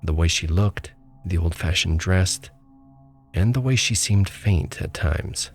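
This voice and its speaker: A man with a very deep, low voice tells a first-person ghost story in a calm, measured way. His delivery is steady, like a scripted narration. Faint music plays quietly underneath. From small town abroad. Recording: faint music bed behind.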